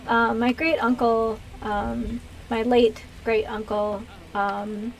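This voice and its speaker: A woman's voice: a little high, flat delivery, slow, slight background noise, poor audio. A woman with an American accent, a streamer from the US East Coast, chatting casually with viewers in a hesitant, rambling way, thinking aloud. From US East Coast, Pennsylvania. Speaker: video game streamer and host. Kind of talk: casual livestream chat with viewers.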